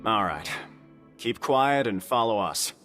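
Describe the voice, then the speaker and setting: A gruff prison gang veteran. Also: male voice, fairly low pitch, lively intonation, slow pace, a bit bright, soft background music. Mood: steady.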